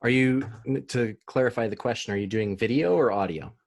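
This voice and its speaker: A man's voice: mid-range voice, a little fast. A man in his thirties presenting on marketing over a video call, talking loosely with many fillers and restarts. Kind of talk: casual presentation with Q&A.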